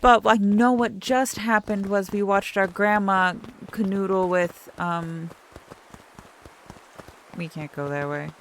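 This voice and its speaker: A woman's voice: medium pitch, fairly even intonation, slow, a little hiss or hum. A young adult woman streaming a game, chatting in a rambling, self-correcting way and reading the text aloud. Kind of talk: casual let's-play chat and reading game text aloud.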